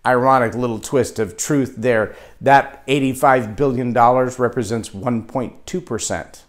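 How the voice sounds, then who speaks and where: Animated Texan man giving a leadership talk. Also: medium pitch, unhurried. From Texas. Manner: animated, acts out quoted voices. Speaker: leadership speaker. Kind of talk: motivational talk to camera.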